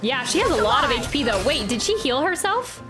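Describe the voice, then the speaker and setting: A woman's voice: high-pitched, sing-song, a little fast. A woman streaming a game with casual reaction commentary, thinking aloud and repeating phrases, game music behind her.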